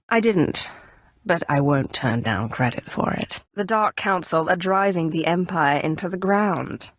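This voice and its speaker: Low-voiced, imperious Sith sorceress. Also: female voice, no room sound, studio quality, heavily acted. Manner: poised, measured, imperious. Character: commanding, cool-headed female Sith sorceress, haughty yet idealistic. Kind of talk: scripted video game dialogue acting.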